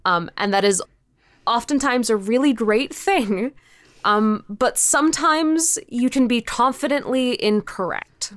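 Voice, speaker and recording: Smooth, clear-voiced woman answering thoughtfully. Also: on the high side, a little slow, a bit bright, no room sound, studio quality. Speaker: interview guest, indie audio drama creator. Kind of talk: casual conversational interview answers.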